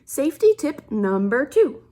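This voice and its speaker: A woman reading a storybook police officer who announces safety tips in an earnest, emphatic, deliberate way. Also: high voice, very animated, mellow.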